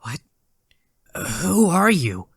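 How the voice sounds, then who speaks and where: A man acts out an earnest young male hedgehog hero from an audio story. The voice is sharp and forceful, swinging widely in pitch as he puts urgent, challenging questions. It is recorded close and dry. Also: very animated, very slow, creaky, husky, no echo, full character voice. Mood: demanding, alarmed. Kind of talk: dramatic audio fan-fiction character acting.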